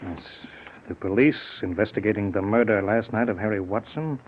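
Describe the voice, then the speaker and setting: An American actor in a 1940s-style radio drama playing a cold, smug invisible man turned killer, smooth-talking, calculated and menacing. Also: on the low side, a little fast, natural voice. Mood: cool, scoffing. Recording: tinny, noisy vintage radio recording.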